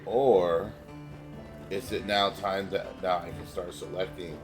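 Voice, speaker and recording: A man's voice: sits low, fairly even intonation, slow. A man with a stuffy nose giving casual, rambling gameplay commentary as a streamer, often confused by the controls and mocking himself. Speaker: gaming streamer. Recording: voice over game music. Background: game music.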